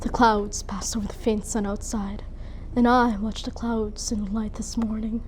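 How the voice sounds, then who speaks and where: A woman's voice: medium pitch, level tone, normal speed, slight background noise, natural voice. A woman performing a dramatic monologue as a grieving, bitter, proud young noblewoman mother, with an emotional theatrical delivery. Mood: tender, bitter. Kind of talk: dramatic monologue for speech competition.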